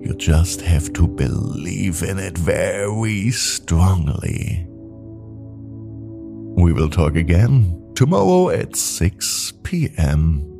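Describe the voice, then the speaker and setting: A man narrating a scripted bedtime story for children in a gentle, deliberate storytelling manner. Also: low voice, sing-song, gravelly, over music.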